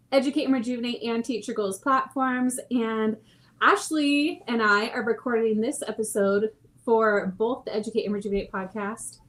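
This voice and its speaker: A woman hosting a live podcast, bubbly and quick to laugh as she reads out chat comments. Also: a little high, a bit bright. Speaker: podcast host.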